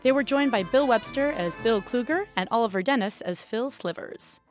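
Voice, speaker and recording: A woman's voice: a little high, animated, moderate pace, faint music behind. A woman reading scripted narration as an announcer, in the style of programme credits.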